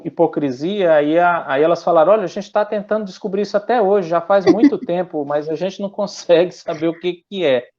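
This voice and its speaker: Halting missionary man, muffled audio. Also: sits high, darkish tone. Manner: halting, many restarts and fillers. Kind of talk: conversational church interview.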